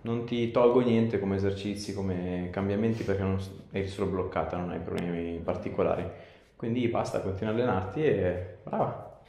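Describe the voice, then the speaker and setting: A man's voice: sits low, normal speed, mellow. A man working as a chiropractor, giving short directions and asking brief, repeated questions during a hands-on exam. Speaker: chiropractor examining a patient. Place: indoor treatment room. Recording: very echoey room, faint noise, clicks.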